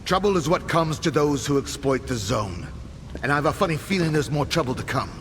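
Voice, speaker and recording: Expressive, wry rebel wanderer. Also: male voice, fairly high pitch, a little fast, slight background noise. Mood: wry, wary. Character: wry, dreamy male rebel leader of zone wanderers. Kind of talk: dramatic video game cutscene acting.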